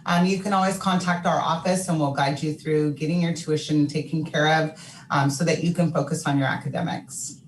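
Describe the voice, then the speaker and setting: Low-voiced reassuring woman, echoey and distant. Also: steady tone, normal speed. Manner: reassuring, clears throat, many fillers. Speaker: student finance staff. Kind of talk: panel answer on a webinar. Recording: echoey room, distant mic, faint noise.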